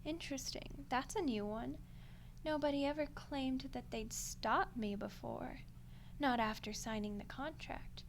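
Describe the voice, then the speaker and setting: A woman voicing an ancient female archdemon in a roleplay ASMR monologue, playful, condescending and coolly menacing, in an unhurried delivery with whispery touches. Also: high voice, slight background noise. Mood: amused, smug.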